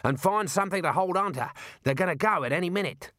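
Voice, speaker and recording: Gruff, hard-bitten driver played by a male narrator, brash and blunt, dry studio audiobook delivery. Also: lowish voice, unhurried, a bit bright, dry recording, heavily acted. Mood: urgent shouting. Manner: blunt, slangy, matter-of-fact.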